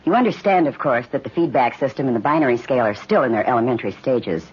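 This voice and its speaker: An actress playing an anxious daughter of a scientist in an old radio drama, with an emotive, hesitant delivery. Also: female voice, a bass voice, animated, quick pace, a little mellow, breathy edge, heavily acted. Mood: composed. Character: anxious, fearful scientist's daughter, technically knowledgeable. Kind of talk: 1950s radio drama acting. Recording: muffled, faintly noisy old radio recording.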